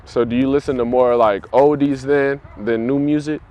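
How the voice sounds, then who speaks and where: A man hosting a casual interview, relaxed and slangy, prompting with short affirmations. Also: mid-range voice, moderate pace, dull audio. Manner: relaxed, slangy, encouraging backchannels. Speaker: interview host.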